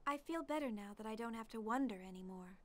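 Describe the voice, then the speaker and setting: Earnest young woman, lightly high voice. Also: animated, fast talker, a bit bright. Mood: resolute, somber. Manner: earnest, precise. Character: earnest, intelligent young female scientist. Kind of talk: English-dubbed video game acting.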